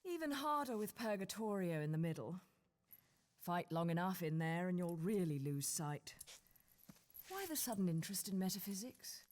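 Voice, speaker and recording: Game heroine: woman as British witch, lowish sultry voice, swooping intonation, haughty and languid. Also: animated, moderate pace, a little mellow, lightly acted. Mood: aloof. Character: haughty, sultry adult witch heroine, cool and self-assured. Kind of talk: video game cutscene acting.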